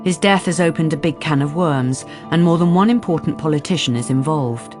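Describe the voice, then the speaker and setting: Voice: medium pitch, fairly quick, lightly acted. A narrator telling a crime story in a scripted, composed radio drama style. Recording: music under the narration.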